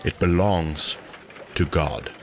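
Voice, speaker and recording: An actor playing a stern, proud archangel warrior with a solemn, commanding manner in an audio drama. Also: male voice, deep voice, steady tone, slow, slight background noise.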